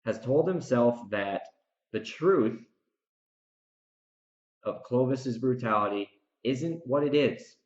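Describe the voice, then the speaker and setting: A man talks alone as a podcast host, working through his commentary with emphatic, repeated phrases. His voice sits at an ordinary male pitch. The recording is roomy and a little dull, with audible echo around his words. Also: animated, slow pace, long pauses, darkish tone. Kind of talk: reflective solo recap commentary, part rant.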